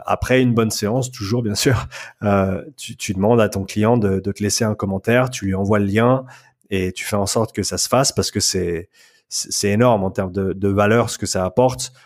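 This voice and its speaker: Male guest, low-ish voice, casual and hesitant, restarts often. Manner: hesitant, many restarts and fillers; bilingual. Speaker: guest, strength coach. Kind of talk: casual podcast interview.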